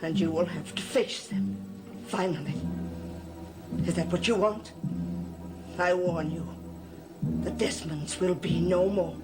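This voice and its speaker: A woman playing a centuries-old scheming servant of dark powers in a gothic soap opera, pleading and menacing with formal, imperious phrasing. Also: lowish voice, steady tone, slow pace, long pauses, over loud music.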